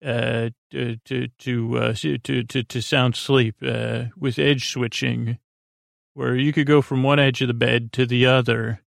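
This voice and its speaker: A man hosting a bedtime podcast in a meandering, halting monologue that trails off mid-word, with whimsical, gently silly asides. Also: medium pitch, little pitch movement, vocal fry, dry recording, clean studio sound. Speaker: podcast host.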